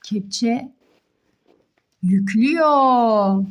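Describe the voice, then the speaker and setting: A young mother with a high voice hosts a toy play video for children. She narrates playfully, with frequent exclamations and questions put to the little viewers. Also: drawn-out words, crowd noise.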